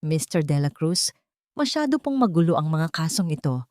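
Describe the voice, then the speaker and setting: A woman's voice: low-pitched, big pitch swings, moderate pace, a little mellow, dry recording, clean studio sound. A woman voicing a formal, polite and puzzled male family lawyer in a story. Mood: concerned.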